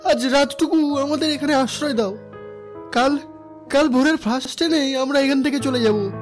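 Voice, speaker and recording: A man's voice: very high-pitched, moderate pace, soft background music. A man playing a desperate young runaway lover in a cartoon ghost story, pleading urgently and repeating himself. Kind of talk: melodramatic animated cartoon dialogue.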